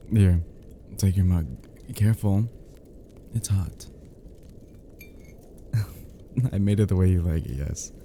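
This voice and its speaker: A man playing a cozy, teasing young male friend who is sweetly smitten, in an intimate ASMR roleplay, murmuring softly and hesitantly with chuckles. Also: low voice, slow pace, pauses often, a little hiss or hum. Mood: tender teasing.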